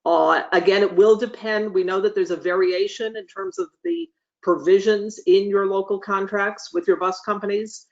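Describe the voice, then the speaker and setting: A meeting facilitator giving careful, measured explanations and answers, choosing words hesitantly in an online meeting. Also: mid-range voice. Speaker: meeting facilitator answering board chairs' questions. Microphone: video call. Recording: dull lo-fi video call audio.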